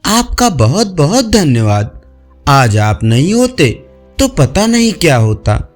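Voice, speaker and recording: A man's voice: a little high, very animated, slow, faint music behind. A man voicing an earnest, shy young village woman in an audio story, politely persuading. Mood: shy, grateful.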